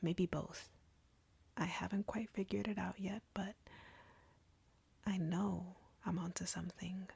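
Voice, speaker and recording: A very breathy, husky female vampire played by a woman in an intimate audio roleplay. She speaks slowly in a taunting, seductive whisper with a gravelly crackle, arrogant and cruel as she flirts with her prey. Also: lowish voice, fairly even intonation, a little mellow, no echo, heavily acted. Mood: smug, accusing. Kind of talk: intimate scripted audio roleplay addressed to the listener.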